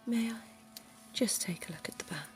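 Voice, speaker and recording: A woman voicing a centuries-old, genteel female vampire dentist in an ASMR roleplay, speaking in a soft, posh, coaxing whisper. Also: on the high side, normal speed, close to an everyday voice. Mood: soothing.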